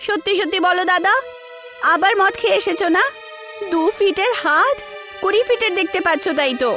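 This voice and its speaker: Woman voicing a high-pitched sceptical younger sister. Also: bright, forceful tone, soft background music. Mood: teasing. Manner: brisk, mocking. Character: sceptical, teasing young sister of a frightened man.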